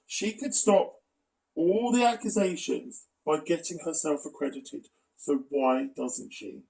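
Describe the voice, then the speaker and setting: A man delivers critical, explanatory video commentary. His voice sits a little high for a man and has a marked gravelly creak. He sounds slightly distant from the microphone. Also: expressive, measured pace, mellow.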